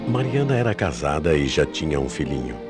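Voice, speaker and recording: A man's voice: very deep voice, big pitch swings, fairly quick, over loud music. A man with a Brazilian accent narrating a scripted radio mystery story in a dramatic storytelling style.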